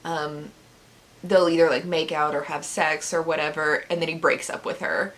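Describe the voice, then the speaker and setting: A woman's voice: deep voice, steady tone, moderate pace, fairly bright tone. A woman chatting casually in a vlog as a book reviewer, giggly and breaking into laughter as she talks, with sarcastic asides. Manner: giggly, laughs through her sentences. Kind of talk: casual chat recounting a book's plot.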